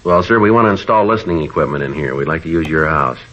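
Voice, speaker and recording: An actor playing a terse, polite, unflappable police sergeant in a 1950s radio drama, deadpan and clipped. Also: male voice, rumbling low, fast talker. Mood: calm, polite. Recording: dull old radio recording.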